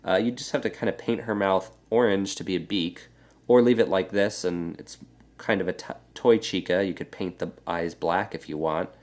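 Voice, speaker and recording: A man talks through a building tutorial in a relaxed, explanatory way. His voice is stuffy and congested from a cold, sitting a bit low, with plenty of filler words along the way. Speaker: Lego builder and channel host. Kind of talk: casual how-to building tutorial.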